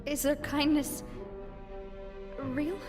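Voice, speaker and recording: A young woman playing a proud, big-hearted gang boss in a video game, earnest, deliberate and commanding. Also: sits high, level tone, loud music behind the voice. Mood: sombre doubt. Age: young adult. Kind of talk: dramatic video game voice acting.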